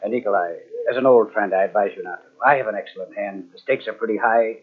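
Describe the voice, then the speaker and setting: An actor playing a suave, self-assured count in an old-time radio drama, theatrical and haughty. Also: male voice, fairly low pitch, fast talker, husky. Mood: confident. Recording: tinny, narrow-band old radio recording.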